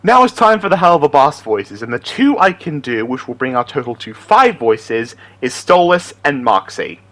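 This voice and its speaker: A man's voice: mid-range voice, very animated, normal speed, fairly bright tone. A chatty, enthusiastic, giggly man talking casually as an amateur voice impressionist introducing his character impressions. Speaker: amateur voice impressionist and aspiring voice actor.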